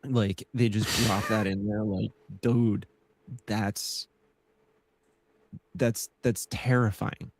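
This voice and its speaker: Male stream co-host, animated, stammers, swears, lowish voice, dry. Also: slow pace, long pauses, no room sound. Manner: animated, profane, stammering restarts. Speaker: podcast co-host. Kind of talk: casual live-stream commentary.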